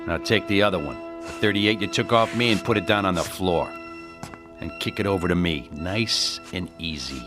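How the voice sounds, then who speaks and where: An actor playing a cocky, menacing avenger in a crime radio drama, with slangy, taunting, sardonic delivery. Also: male voice, low voice, moderate pace, over music, lightly acted. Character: cocky, menacing male avenger posing as gangster's messenger. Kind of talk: hard-boiled crime radio drama acting.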